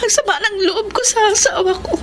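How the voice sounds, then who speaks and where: A high-pitched, emotive young wife from a radio drama. She sounds lonely and aggrieved, and speaks over a clear music bed. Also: fairly quick. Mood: tearful, wounded. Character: young married working woman, lonely, aggrieved, evasive wife. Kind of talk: Filipino radio drama acting.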